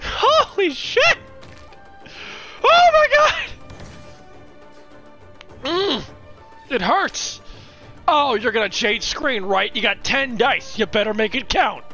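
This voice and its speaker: Hoarse, shrieking gamer yelling trash talk. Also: sits high, big pitch swings, slow, pauses often, soft background music. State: strained, worn-out voice. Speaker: gaming content creator. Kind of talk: excited gameplay commentary.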